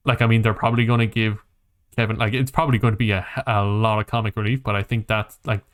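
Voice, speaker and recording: A man's voice: on the low side, little pitch movement, quick pace. A man hosting a casual podcast about games and films, thinking aloud in a hesitant, rambling way. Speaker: podcast host.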